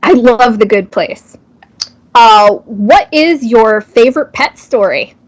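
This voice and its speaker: A woman's voice: fairly high pitch, lively intonation, slow pace, low-quality recording. A woman interviewer asking casual, friendly questions and responding in an encouraging, appreciative way. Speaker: interviewer, host.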